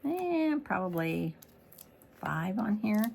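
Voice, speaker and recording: A middle-aged woman demonstrating card making in a chatty step-by-step tutorial, slightly hoarse as she is losing her voice. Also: mid-range voice, very animated, slow pace, a little mellow. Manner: chatty, walks through each step. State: losing her voice, throat clearing. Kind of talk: casual crafting tutorial.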